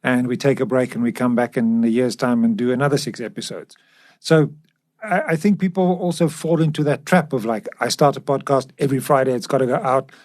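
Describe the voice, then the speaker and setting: Man, mid-pitched, chatting. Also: a little fast, darkish tone. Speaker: podcast co-host. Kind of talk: casual podcast discussion.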